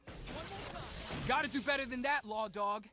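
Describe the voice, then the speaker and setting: An actor playing a cocky, angry teenage street inventor who controls time, with brash, taunting game voice lines. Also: male voice, very high-pitched, expressive, measured pace, music in the background. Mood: cocky mocking. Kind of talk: video game character voice lines.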